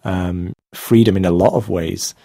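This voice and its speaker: Interview guest: thirties man, Manchester accent, low-pitched, halting, dry close sound. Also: fairly even intonation, creaky, mellow, dry recording. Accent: Manchester English. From England. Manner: hesitant, with many fillers and restarts, laughing often. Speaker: guest artist. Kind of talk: casual interview chat.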